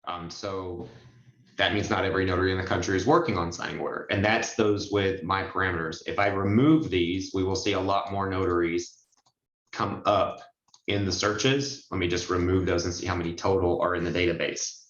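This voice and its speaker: Male online trainer, lowish pitch, informal step-by-step explainer, muffled call sound. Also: steady tone, fairly quick, fairly bright tone, roomy sound. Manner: explanatory, step-by-step, addresses listeners directly. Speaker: notary trainer and signing agency presenter. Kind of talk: informal screen-share training walkthrough. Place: indoors on a group video call.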